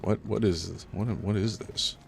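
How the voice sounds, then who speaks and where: A man live-streaming a horror game, rambling through his commentary, chatting with viewers, and reacting loudly to scares, a little congested. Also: fairly low pitch, fairly even intonation, normal speed, vocal fry, a little hiss or hum. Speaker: gaming streamer.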